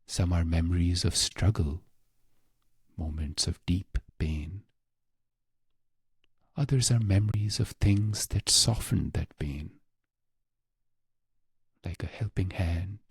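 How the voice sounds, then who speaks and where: Male meditation narrator, unhurried and very breathy, husky, close and dry sound, scripted short phrases. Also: dry recording. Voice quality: low, breathy, husky voice with creak. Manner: hushed, unhurried delivery in short phrases with long pauses. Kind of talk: slow, scripted guided meditation.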